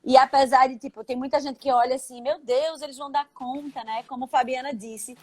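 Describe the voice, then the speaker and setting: A woman's voice: sits high, fairly even intonation, brisk, a little mellow. A Brazilian woman educator chatting in a live stream, often turning to her co-host for agreement. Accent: Brazilian Portuguese. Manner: affirming, checks in with the co-host. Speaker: school educator.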